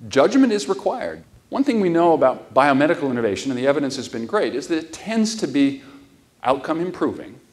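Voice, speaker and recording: A man's voice: a little high, sing-song, a little fast. A middle-aged man giving a conference keynote lecture on a ballroom stage, explaining steadily with emphatic stresses. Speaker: keynote speaker.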